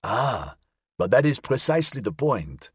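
A man voicing an elderly, courteous Frenchman who speaks in a formal, precise, measured way in an audiobook. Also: mid-range voice, sing-song, breathy edge, no echo, studio quality, exaggerated voice. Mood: grave, precise. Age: older. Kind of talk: audiobook character acting.

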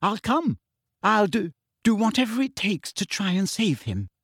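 A man's voice: high voice, very animated, measured pace, breathy edge, dry recording, clean studio sound, voice pushed up, full character voice. A man voicing a clever, anxious teenage girl witch who argues fiercely and pleads. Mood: pleading.

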